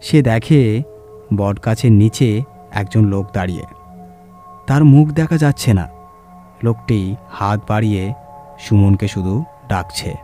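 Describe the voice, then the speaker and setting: A man's voice: lowish voice, unhurried, long pauses, dark tone, soft background music. A man reading a scripted horror story as narrator, in a dramatic storytelling style.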